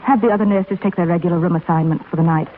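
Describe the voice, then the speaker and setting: A woman's voice: on the low side, fairly even intonation, rapid-fire, lightly acted. A woman playing a composed, authoritative head nurse in a 1930s radio drama. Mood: composed, grave. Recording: tinny, hissy 1930s radio broadcast.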